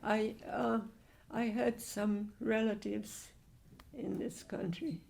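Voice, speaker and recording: An elderly woman in her nineties with a heavily gravelly, creaky voice. She speaks very slowly, pausing and restarting as she answers questions from the audience. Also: medium pitch, fairly even intonation, darkish tone. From Hungary. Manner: slow, halting, with frequent restarts. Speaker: Holocaust survivor speaker.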